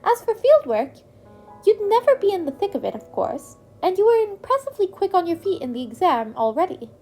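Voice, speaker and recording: Woman putting on a very high, chirpy school principal creature. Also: sing-song, fairly quick, faint music behind, exaggerated voice. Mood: upbeat, explaining. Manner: bright, polite, eloquent. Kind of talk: acted storybook dialogue.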